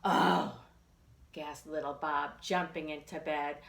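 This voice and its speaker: Lady librarian doing storytime for little kids, bright expressive voice, echoey room. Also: fairly low pitch, slow. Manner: expressive, simple phrasing for small children. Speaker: children's librarian and storytime host. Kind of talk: children's storytime reading and rhymes.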